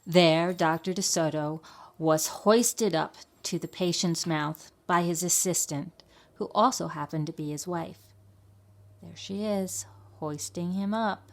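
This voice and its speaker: A woman's voice: on the low side, measured pace. A woman reading a picture book for a library storytime, explaining and asking questions as if to young children.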